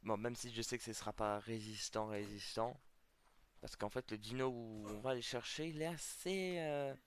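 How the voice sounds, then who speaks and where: A man's voice: mid-range voice, normal speed, a little hiss or hum. A man with a slight cold chatting casually to viewers while he plays a video game, hesitant and full of fillers. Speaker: gameplay video host. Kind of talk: casual let's-play commentary to viewers.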